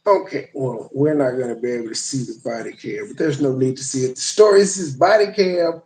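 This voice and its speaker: A man's voice: mid-range voice, a little fast, darkish tone, roomy sound. A man hosting a livestream, rambling and profane as he chats with viewers and reacts to clips. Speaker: livestream host. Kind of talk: casual live commentary.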